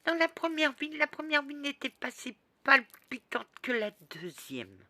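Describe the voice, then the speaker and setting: A woman recounts a long personal tale in a loose, rambling way, doubling back and restarting her sentences. Her voice is bright and forward, and she takes her time. The recording is dry, with no room sound. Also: high-pitched, a little slow, dry recording. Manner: lively, rambling, with restarts and asides. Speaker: storyteller recounting past lives. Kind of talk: casual spoken storytelling.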